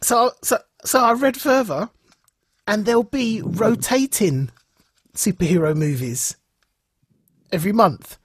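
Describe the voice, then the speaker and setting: A man's voice: sits high, lively intonation, measured pace, long pauses, husky, no echo. A man co-hosting a podcast, chatting casually and animatedly, often repeating phrases for emphasis. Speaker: podcast co-host. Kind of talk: casual chat about comics and film news.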